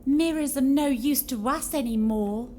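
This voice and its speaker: A woman's voice: sits high, moderate pace, a little mellow, natural voice. A woman playing a prim, fashion-loving lady ghost from the Twenties in an audio drama, wistful and ladylike. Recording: faint noise behind.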